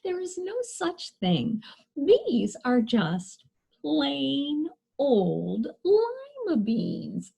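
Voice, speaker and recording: A woman voicing a sweet, helpful old woman from a storybook, bright and exclaiming in manner. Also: high-pitched, wildly swooping pitch, extremely slow, creaky, pitched above the performer's own voice, heavily acted. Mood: cheerful, coaxing.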